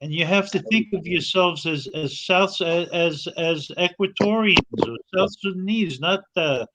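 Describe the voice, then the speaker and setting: An American man speaking in an unscripted political discussion, haltingly, repeating words and often checking that he is understood. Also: high voice, heavy background noise. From United States. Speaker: interview guest, social advocate.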